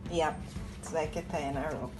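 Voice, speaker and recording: A woman's voice: sits low, fairly even intonation, moderate pace. A middle-aged woman with a Jamaican accent giving casual cooking instruction as a host. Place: echoey home kitchen. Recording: echoey room, faint music. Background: faint music.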